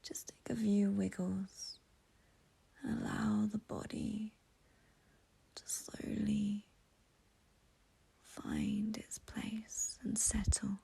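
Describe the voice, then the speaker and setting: A woman leading a guided meditation in a soft, breathy near-whisper, with a soothing, gentle, intimate manner. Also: mid-range voice.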